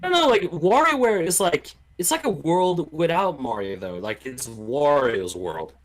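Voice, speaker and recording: A man speaking as a guest in a relaxed, informal podcast chat about games. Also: on the high side, moderate pace.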